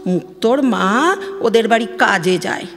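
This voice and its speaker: A woman's voice: fairly high pitch, lively intonation, unhurried. A woman playing an aggrieved, gossipy middle-aged village mother from West Bengal on the phone in an audio drama, chatty and complaining, with a Bengali accent. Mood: gossiping, scandalised. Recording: long studio reverb, music behind.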